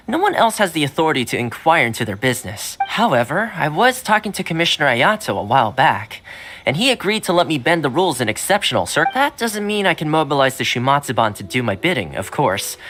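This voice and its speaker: An actor playing a friendly, patient young man in a video game, chatting gently over game music. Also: on the high side, exaggerated voice. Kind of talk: game dialogue acting.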